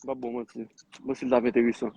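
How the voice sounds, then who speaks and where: A man's voice: medium pitch, little pitch movement, quick pace, dark tone, slightly rough, no echo. A man in his twenties, a guest on a live interview, chatting casually and rambling with many restarts. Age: 20s. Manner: rambling, switches between Creole and French with many restarts. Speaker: guest, agriculture founder.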